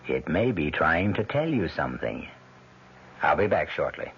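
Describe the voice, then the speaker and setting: A man's voice: very deep voice, very animated, moderate pace, fairly bright tone, muffled recording, slight background noise. A man hosting a radio drama with theatrical scripted narration, on an old broadcast. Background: music.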